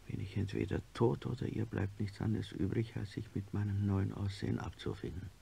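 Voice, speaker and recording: A man playing a stubborn, ailing middle-aged industrialist in a radio drama, with a gravelly, husky voice. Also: on the low side, flat delivery, a little fast, mellow, slight background noise. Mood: urgent, insistent. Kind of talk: German radio drama acting.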